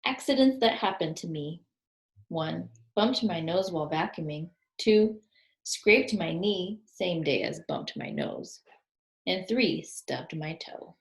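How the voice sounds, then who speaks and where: A woman's voice: lowish voice, very animated, takes it slowly, gravelly, full character voice. A woman voicing an anxious, fussy male character who loves lists in a children's storybook, earnest and precise. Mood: careful, reciting. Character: Wallace, anxious fussy male list-lover. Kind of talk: children's storybook read-aloud. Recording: roomy, echoing sound.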